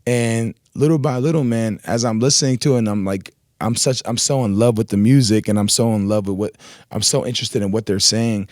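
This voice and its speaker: A man whose first language is Arabic, a rapper guest telling stories in a relaxed, rambling way with slang, on a studio mic in a radio studio. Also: on the low side, brisk, dry recording. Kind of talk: casual interview chat.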